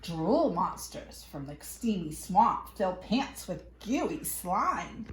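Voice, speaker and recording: A woman reading a picture book aloud to young children in an expressive, playful way with dramatic emphasis. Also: lowish voice, takes it slowly. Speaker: children's storyteller. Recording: echoey room.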